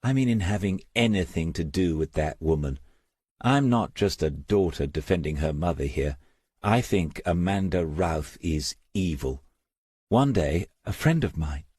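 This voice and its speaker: A male narrator plays a resolute young mother who speaks frankly and bitterly in a crime audiobook. The voice is low for her and slightly husky, recorded close and dry. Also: animated, measured pace, a little mellow, dry recording, heavily acted. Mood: grave, resentful. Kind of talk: audiobook character dialogue.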